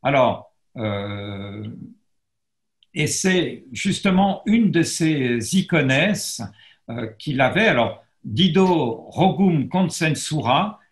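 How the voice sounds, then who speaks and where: A man giving an academic lecture as a historian, pausing and restarting often as he reads and translates verse. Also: a little high, lively intonation, roomy sound. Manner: hesitant, frequent pauses and restarts; self-deprecating about his Latin pronunciation. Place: lecture setup facing a camera, roomy.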